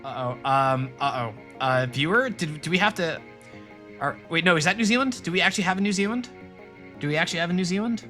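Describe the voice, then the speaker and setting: A man rambles and jokes while he streams a game and talks to his chat. His voice sits fairly high and sounds bright and forceful. Faint game music plays underneath. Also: fast talker. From Rhode Island, New England. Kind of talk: casual let's-play commentary.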